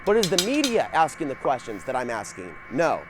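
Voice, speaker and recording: A man's voice: on the high side, huge pitch swings, brisk. An American man hosting a TV show with earnest, emphatic political commentary and rhetorical questions. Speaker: public-access TV show host. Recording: noisy archive TV show audio.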